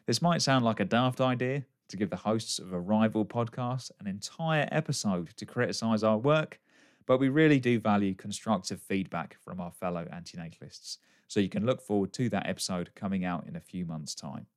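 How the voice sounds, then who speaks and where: A man with a fairly low voice hosts a podcast. He delivers introductions and questions to guests as the presenter of the show. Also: fairly quick. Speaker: podcast host.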